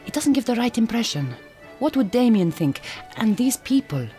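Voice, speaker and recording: A woman's voice: fairly high pitch, big pitch swings, moderate pace, dark tone, faint music behind. An actress playing a Hungarian immigrant woman, a tender but prim mother, in a radio drama with precise, formal phrasing and a Hungarian accent. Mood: hesitant, proper. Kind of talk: radio drama acting, intimate monologue and dialogue.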